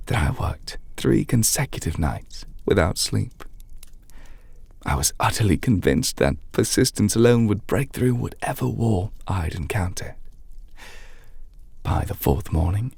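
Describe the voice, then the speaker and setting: A very breathy, half-whispered male voice with a creaky edge, recorded close and dry. A man plays a gentle magic teacher in an ASMR roleplay. He speaks in measured, slightly formal phrases, patient and reassuring toward his apprentice. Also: fairly low pitch, dry recording, heavily acted. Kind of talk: ASMR roleplay, softly spoken to the listener. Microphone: close mic, dry.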